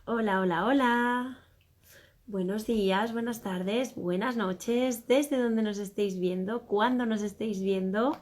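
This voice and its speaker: A woman hosts a live online book club, her voice still a little hoarse. She talks effusively and speaks straight to her viewers. Her pitch sits in the middle range. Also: takes it slowly, a little mellow. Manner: effusive, addresses viewers directly. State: slightly hoarse. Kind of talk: live stream hosting.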